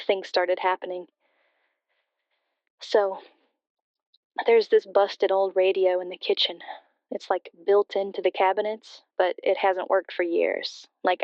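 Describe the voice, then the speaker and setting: Wistful grieving daughter calling a radio show played by a woman: halting, sincere, smooth voice, slightly dull dry sound. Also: mid-range voice, no echo, lightly acted. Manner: hesitant, halting, reflective. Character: bereaved daughter, wistful and sincere, confiding a ghostly story. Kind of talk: scripted audio drama, emotional personal storytelling.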